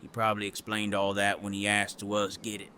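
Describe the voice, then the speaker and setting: An actor playing a gruff, plain-spoken male survivor in an audio drama, with a blunt, folksy manner. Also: on the low side, monotone, brisk, bright, forceful tone, a little hiss or hum. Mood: casual, wry.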